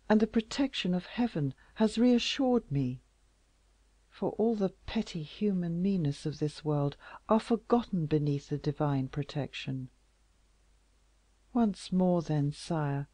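A reader voicing a tearful gentle young woman. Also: mid-range voice, dry recording. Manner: soft, pleading, at times whispered. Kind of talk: audiobook character reading.

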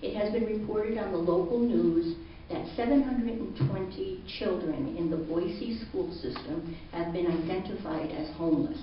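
A woman's voice: fairly low pitch, slight background noise. Earnest older woman testifying in a hall. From Idaho. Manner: earnest, measured, with filler ums. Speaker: public testifier. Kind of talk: reading prepared testimony. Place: large hearing room indoors. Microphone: podium mic from far camera. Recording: roomy far-off lo-fi hall recording.

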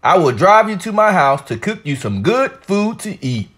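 A man's voice: high-pitched, big pitch swings, normal speed, fairly bright tone. A man playing a cheerful, kindly old grandpa in a children's storybook, hearty and encouraging. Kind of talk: children's storybook read-aloud.

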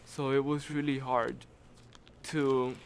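A young man whose first language is Spanish talks slowly and evenly, in a reflective interview. There is faint background noise. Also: mid-range voice, creaky. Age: young adult. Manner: slow, flat, reflective. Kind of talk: documentary interview.

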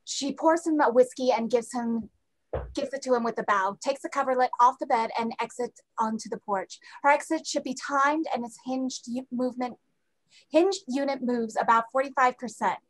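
A woman's voice: high voice, normal speed, poor audio. A woman reading out stage directions as scripted narration for a play. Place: indoors at home, on a group video call.

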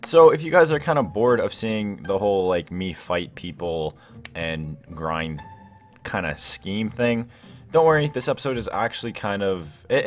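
A young man commentates casually over gameplay in a slightly low voice. His pitch swings widely as he rambles along in an excitable, chatty way. Music plays behind him. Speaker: gaming video host. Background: background music.

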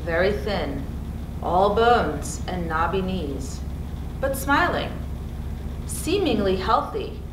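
A woman's voice: medium pitch, very animated, slow pace. A woman in her thirties reading a narrative aloud from a book. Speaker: reader in a school community read-aloud. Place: indoors, seated before a cave backdrop. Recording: roomy, distant mic with clear background noise.

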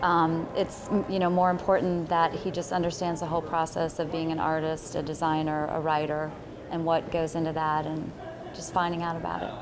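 A woman's voice: low-pitched, little pitch movement, fairly quick, background noise. A woman, a mother of three, speaking thoughtfully and supportively in a casual interview. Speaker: parent.

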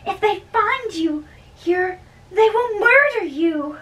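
Anxious young noblewoman, acted by a woman, high pitch, girlish, bashful, amateur drama reading, distant room recording. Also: expressive, slow pace, fairly bright tone, heavily acted. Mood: worried. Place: small bedroom, indoors. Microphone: far camera.

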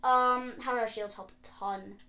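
A woman with a fairly high voice chats casually through a gaming session as a player and co-host. The room around the voice is audibly echoey. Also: steady tone, moderate pace, bright, forceful tone. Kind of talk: casual gameplay chat.

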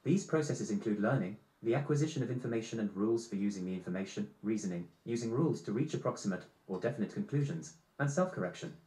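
A synthetic male assistant voice reads out factual answers at a brisk pace. The sound is roomy, like computer playback. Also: on the low side, lively intonation, mellow. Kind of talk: read-aloud text-to-speech answers.

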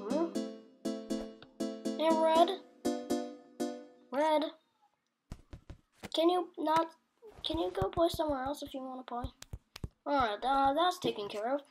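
A child with a very high voice improvises lines for a cartoon. The delivery is slow and unpolished, with music clearly playing behind it. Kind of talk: amateur improvised cartoon voice-over.

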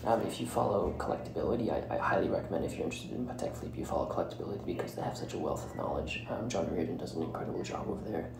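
Very deep, gravelly male podcast host. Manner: halting, hesitant, often breaking phrases mid-way. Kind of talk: solo podcast commentary. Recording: roomy echo with clear background noise.

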